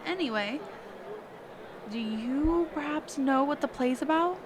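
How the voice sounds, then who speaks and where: A woman playing a sheltered, curious mage teacher in a conversational roleplay audio drama, halting and often correcting herself. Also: sits high, noisy background. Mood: flustered, curious. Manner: halting, hesitant, trailing off and self-correcting.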